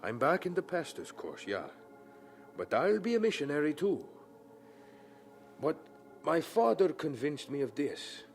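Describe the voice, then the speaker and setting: An actor playing an earnest, devout young German theology student with a German accent, speaking fervently and deliberately in a radio drama. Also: male voice, fairly high pitch, sing-song, gravelly, a little hiss or hum, exaggerated voice. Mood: earnest conviction.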